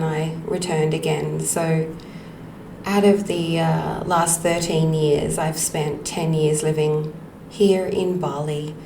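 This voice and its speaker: Slow, gravelly woman storyteller. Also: low voice. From Australia. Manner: slow, reflective, with frequent ums and soft laughs. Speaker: podcast host. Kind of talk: personal storytelling monologue. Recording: echoey room with faint background noise.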